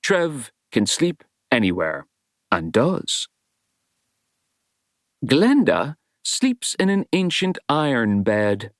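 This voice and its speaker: Guy reading an audiobook, wry storyteller, pitch moves around a lot, no room echo. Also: very animated, slow, pauses often, studio quality. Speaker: audiobook narrator. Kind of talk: scripted novel narration, wry storytelling.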